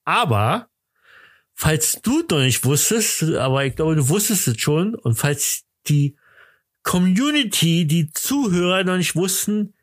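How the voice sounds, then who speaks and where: A middle-aged man with a Berlin accent hosts a casual podcast. His voice sits a little high for a man. He rambles in a jokey way, often stopping and starting as he looks for the word. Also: very animated, measured pace, no echo, clean studio sound. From Berlin, Germany. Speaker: podcast host.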